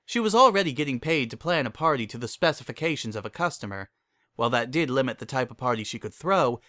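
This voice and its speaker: A man narrates a scripted story in an expressive dramatic reading. His voice is bright and animated, moving freely in pitch, recorded close and dry. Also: dry recording.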